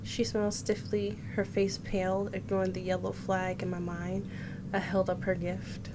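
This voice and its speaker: A woman's voice: mid-range voice, monotone, normal speed, background noise. A woman in her thirties reading a visual novel aloud and adding casual commentary as a game streamer. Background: game music and Japanese game voices.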